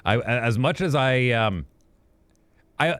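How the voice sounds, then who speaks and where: A man's voice: medium pitch, animated, fairly bright tone, dry recording. Rambling man, dry close sound. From Western Canada. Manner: rambling, reminiscing, quick asides. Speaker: podcast co-host. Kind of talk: casual sports chat. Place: apartment recording studio.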